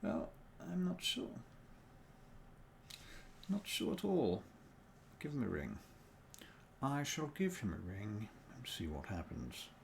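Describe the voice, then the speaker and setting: A man's voice: medium pitch, huge pitch swings, creaky, slight background noise. A tired man from New Zealand chatting casually on a livestream as a dungeon master, thinking aloud, muttering and humming to himself, speaking in a put-on accent rather than his own. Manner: deliberately speaking in a put-on non-native accent. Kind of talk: casual livestream chat while working.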